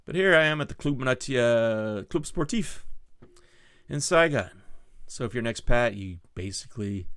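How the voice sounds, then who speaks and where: A man's voice: mid-range voice, lively intonation, moderate pace, a bit bright, smooth, no room sound, clean studio sound. A man in his sixties hosting his own podcast, telling casual stories in a rambling, digressive way with many restarts. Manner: rambling, digressive, self-correcting. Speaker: podcast host. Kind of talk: casual storytelling monologue.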